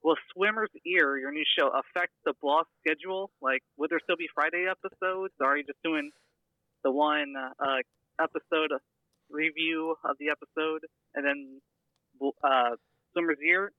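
A man's voice: a little high, normal speed, no room sound, narrow, tinny audio. A man leaving a casual, hesitant, rambling voicemail as a listener calling in, recorded over a phone.

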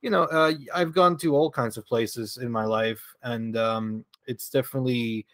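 A man's voice: mid-range voice, steady tone, normal speed, fairly bright tone, no echo. A man from Venezuela, a podcast guest telling stories in a rambling, chatty way with frequent laughter and restarts. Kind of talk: casual conversational storytelling.

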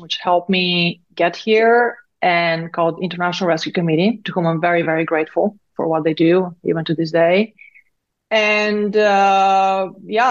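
A woman in her fifties, a native Bulgarian speaker, talks in a fairly low voice. She recounts things conversationally, as a guest in an interview. The sound is a little dull and lo-fi. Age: 50s. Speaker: interview guest, tech founder and CEO.

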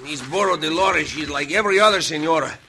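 An actor playing a pompous, long-suffering old Mexican burro driver in a radio western, with a Mexican accent and exclamatory broken English. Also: male voice, fairly high pitch, quick pace, very noisy, close to an everyday voice. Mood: exasperated.

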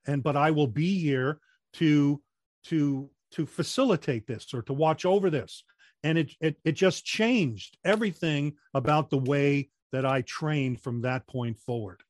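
A man with a voice that projects well, explaining training methods in a measured way and often restarting his sentences in a relaxed interview. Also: fairly high pitch, no room sound, clean studio sound. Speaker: guest, corporate trainer. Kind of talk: conversational interview.

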